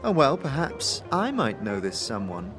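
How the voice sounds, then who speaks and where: A man's voice: medium pitch, huge pitch swings, measured pace, music in the background. A man voicing a chatty, awkward female clerk in an audio drama, hesitant and polite. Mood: awkward, friendly.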